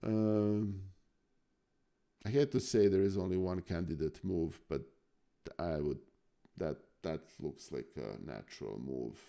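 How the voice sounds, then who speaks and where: A man's voice: low voice, unhurried, creaky, mellow. A man from Serbia with a Serbian accent, thinking aloud hesitantly as a chess student on a live stream. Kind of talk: casual chess lesson chat.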